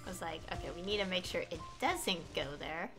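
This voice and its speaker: A woman's voice: on the low side, lively intonation, a little fast. A woman streaming a game with casual live commentary, thinking aloud in a hesitant way and trailing off. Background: game music.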